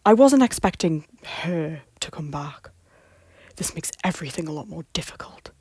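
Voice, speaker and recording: A woman's voice: deep voice, expressive, normal speed, darkish tone, slightly rough, no echo. A woman voicing a proud, bitter young male king in an audio drama, curt and dismissive. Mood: scornful.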